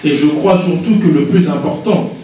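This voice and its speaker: A man's voice: a little high, moderate pace, warm tone, slight background noise. A Congolese-born man giving an earnest public talk as an invited author, often restarting his words. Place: conference hall. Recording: echoey hall, distant mic.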